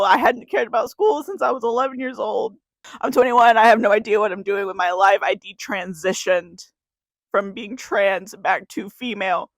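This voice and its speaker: 21 yr old woman crying thru a spoken word poem, gravelly voice, super dry close sound, earnest confessional vibe. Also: medium pitch, no echo. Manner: earnest, confessional, repeating a refrain. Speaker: YouTuber.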